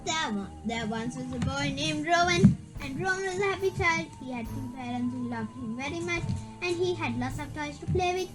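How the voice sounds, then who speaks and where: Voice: high-pitched, normal speed, rough recording. Someone reading a children's spooky story aloud, stumbling and repeating words along the way. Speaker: reader. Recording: background music. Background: music.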